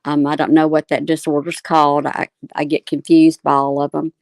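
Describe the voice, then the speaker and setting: A woman's voice: deep voice, flat delivery, moderate pace, dry recording, clean studio sound. A woman talking casually and a little hesitantly as a panelist in a discussion.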